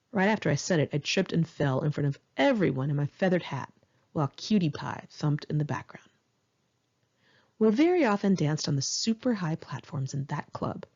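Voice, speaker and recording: A woman's voice: fairly low pitch, darkish tone, breathy edge, muffled recording. A woman narrating her own memoir as an audiobook, in a candid, conversational and wry way. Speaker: author reading own memoir.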